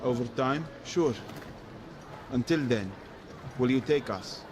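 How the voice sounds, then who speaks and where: A man's voice: medium pitch, lively intonation, slow, background noise. A man playing a watchful, blunt guard and guide in an audio adventure game, terse and commanding. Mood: matter-of-fact. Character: seasoned male guard and guide, watchful, blunt, gruff protector.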